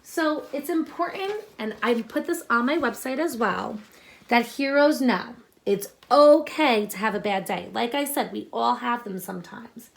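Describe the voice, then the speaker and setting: A woman teacher reading a picture book aloud to children, expressive and instructive, pausing to explain and ask questions. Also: on the high side, gravelly. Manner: deliberate, instructive, addressing young listeners with questions.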